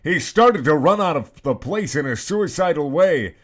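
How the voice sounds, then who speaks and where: A man giving a comedic dramatic reading of a story in a halting, mock-serious way. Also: a little high, animated. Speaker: reader.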